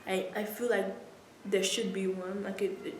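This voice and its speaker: A woman's voice: mid-range voice, flat delivery, moderate pace, slight background noise. A young woman chatting casually as a student interviewee, informal and thinking aloud as she answers. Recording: echoey room, people chattering behind.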